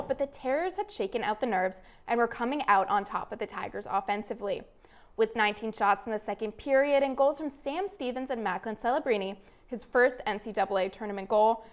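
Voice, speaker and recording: A young adult woman working as a student sports anchor, reading scripted sports news in a measured, presentational newsreader delivery. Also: a little high.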